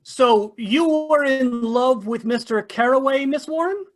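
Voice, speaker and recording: A woman's voice: sits high, measured pace. A woman playing a shrewd, formal police inspector in an old-time radio mystery. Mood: probing, dry. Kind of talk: golden-age radio mystery acting.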